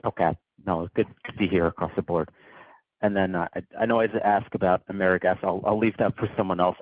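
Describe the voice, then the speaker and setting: A male financial analyst phones in with questions, polite and informal. His voice is deep. The connection is dry and narrow, with no room sound. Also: no room sound. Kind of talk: spoken investor questions. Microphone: conference phone call.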